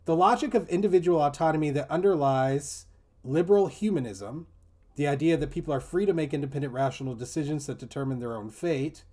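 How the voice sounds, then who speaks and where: A man's voice: fairly high pitch, fairly quick, mellow. A man hosting a discussion, reading an article aloud and adding mocking, incredulous commentary.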